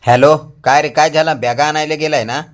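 A man's voice: on the high side, fairly even intonation, moderate pace, lightly acted. A man voicing a sensible young villager in a comedy cartoon, chiding and grumbling in rustic speech. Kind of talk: comic cartoon dialogue. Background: loud music.

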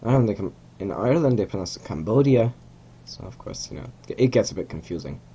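Young hungarian guy, lowish voice, rambly game commentary at his desk, keeps fixing his own words, faint room hum. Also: lively intonation, brisk, warm tone. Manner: rambling, self-correcting, chuckling asides. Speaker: gamer narrating a rocket launch. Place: small room at home.

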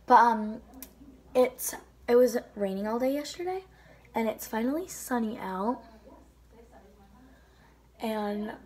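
A teenage girl talks to her phone camera at arm's length in a hotel room, her voice sitting a little high. She rambles in a loose, chatty way, full of "like" and "um" as she goes. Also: slightly rough. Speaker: vlogger. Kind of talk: casual travel vlog chat. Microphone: phone camera held at arm's length.

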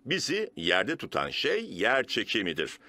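Serious cartoon rabbit guide, deep, highly animated, sharp tone, instructive, fussy. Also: male voice, measured pace, full character voice. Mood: earnest. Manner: earnest, instructive. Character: serious, fussy grown-up male rabbit science tour guide.

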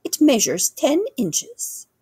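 A woman's voice: sits high, huge pitch swings, slow pace, mellow, no room sound, pitched above the performer's own voice, exaggerated voice. A woman voicing a haughty, pedantic older English queen in a storybook, with a pompous, formal way of talking. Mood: proud. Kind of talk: children's storybook character voice.

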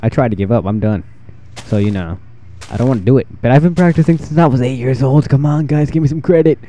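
A fourteen-year-old boy with a freshly deepened voice, rambling and self-deprecating while giving casual commentary over his gameplay. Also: fast, warm tone, dull audio. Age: 14, teenage boy with newly deepened voice. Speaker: gaming channel host.

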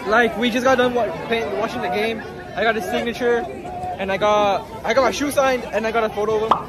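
Excitable teen guy vlogging on his phone. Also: very high voice, fairly quick, a loud crowd. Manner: excitable, laughing, swearing. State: hoarse. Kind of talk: casual vlog chat. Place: outdoors at night after a soccer game. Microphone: phone held at arm's length.

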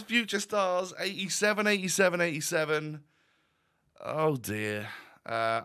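A man, croaky today, chatting wryly through a football game pack opening from his home room. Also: mid-range voice, sharp tone. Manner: chatty, wry, with fillers and restarts. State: croaky, voice going. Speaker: gaming YouTuber. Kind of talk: casual pack-opening commentary.

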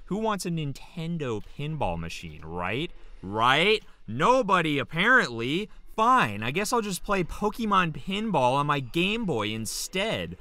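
A man hosts a comedic web show with a bright, hugely animated voice. He sounds excitable and nerdy, with a sarcastic edge. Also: mid-range voice, dry recording. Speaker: host reading viewer questions.

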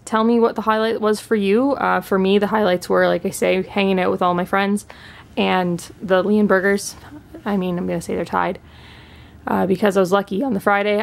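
A young woman from Canada chats eagerly about her purchases, her words full of little fillers and restarts. Her mid-range voice has a gravelly fry to it. She sounds like she's recorded at home on a camera sitting on a table. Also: mid-range voice, steady tone, normal speed. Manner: chatty, enthusiastic, lots of fillers. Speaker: pet channel host. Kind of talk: casual haul chat. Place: indoors at home, living room.

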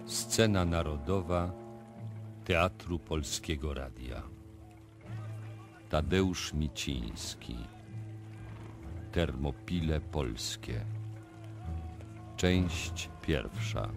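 A man's voice: a bass voice, extremely slow, pauses often. A man announcing a title formally, as a radio announcer. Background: loud music.

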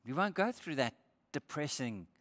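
An older man preaching a sermon as pastor, emphatic, lifting his voice on scripture quotes and dropping low for quiet points. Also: big pitch swings, moderate pace. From Australia. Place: church stage with brick wall. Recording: church hall with long reverb.

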